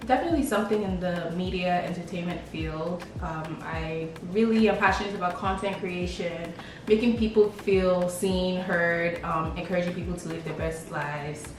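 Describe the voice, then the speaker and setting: Young woman, lowish pitch, casual playful chat, music behind. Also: normal speed. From Lagos, Nigeria. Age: young adult. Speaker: vlogger answering questions about herself. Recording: echoey room, distant mic, background beat.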